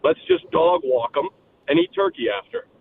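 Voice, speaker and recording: A man's voice: high-pitched, wildly swooping pitch, normal speed, gravelly, husky. A man calling in to a sports radio show, a brash fan who talks trash. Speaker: caller. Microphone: phone. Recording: tinny phone line with faint noise.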